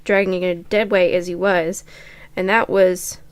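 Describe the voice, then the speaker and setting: A woman's voice: fairly low pitch, flat delivery, a little slow, smooth, no echo. A woman co-hosting a podcast, reading fan fiction aloud. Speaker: podcast co-host.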